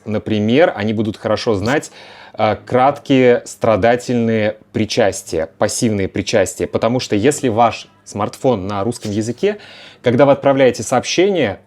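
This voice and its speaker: Guy hosting a chill podcast, mid-pitched voice, thinks out loud, stretches words. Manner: relaxed, thinking aloud with fillers and drawn-out words. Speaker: podcast host and Russian teacher. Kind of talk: casual conversational podcast.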